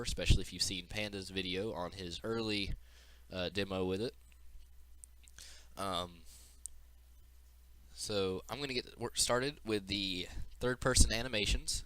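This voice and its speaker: A man's voice: lowish voice, a bit bright. A man giving an informal, unscripted walkthrough of a game demo as its developer, explaining as he goes, with audible breathing into the mic.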